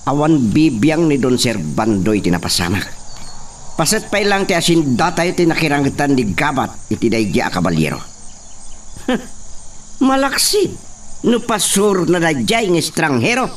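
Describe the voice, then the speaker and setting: A man's voice: on the high side, big pitch swings, moderate pace, far from the mic. A man playing an arrogant, scheming young hacienda heir in a radio drama, bossy and haughty. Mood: suspicious, dismissive. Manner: commanding, haughty.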